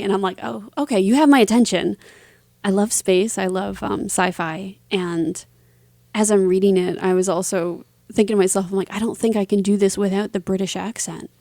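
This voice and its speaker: A woman's voice: medium pitch, gravelly, dark tone, no echo. A woman speaking as an interview guest, telling her stories casually with a self-deprecating, lightly giggly manner. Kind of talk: casual conversational storytelling.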